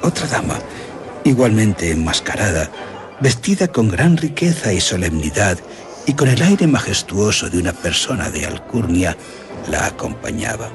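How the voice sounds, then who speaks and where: A man's voice: lowish voice, sing-song, moderate pace. An older man with a Castilian Spanish accent playing a grieving aristocratic general who recounts his tragedy in florid radio drama style. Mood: brooding. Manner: florid, theatrical, dramatic storytelling. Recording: music under the voice.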